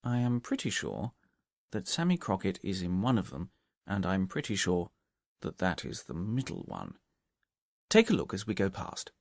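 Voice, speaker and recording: A man acts out a methodical, commanding detective from a Victorian mystery audiobook. His low-pitched voice moves in lively swings as he reasons aloud and gives deliberate instructions. The sound is dry and close. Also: gravelly, no room sound, full character voice. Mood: calmly reasoning. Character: confident, brisk, methodical male detective giving instructions. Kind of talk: audiobook character dialogue from a Victorian detective story.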